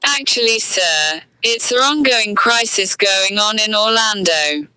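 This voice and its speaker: A woman's voice: mid-range voice, lively intonation, unhurried, sharp tone, smooth, no echo, low-quality recording. A woman playing a formal, serious presidential aide in an amateur audio drama.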